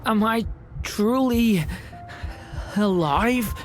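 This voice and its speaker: A woman voicing a gentle, earnest young dragon boy in a video game, with a hesitant, sincere way of talking. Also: a little high, extremely slow, soft background music. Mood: weak, struggling.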